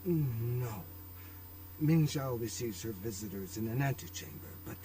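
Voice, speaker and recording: A man's voice: medium pitch, expressive, dark tone, far from the mic, a little hiss or hum, lightly acted, low-quality recording. An actor voicing a gracious, formal older businessman, a courteous father figure in a video game. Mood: solemn. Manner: formal, courteous, measured wording.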